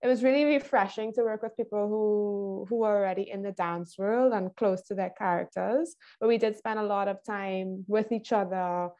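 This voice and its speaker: A young woman from Trinidad speaking thoughtfully and haltingly in a casual interview as a film director. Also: mid-range voice, fairly even intonation, warm tone, highs cut off. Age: young adult. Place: indoor room, video call. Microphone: wired earphones on a video call.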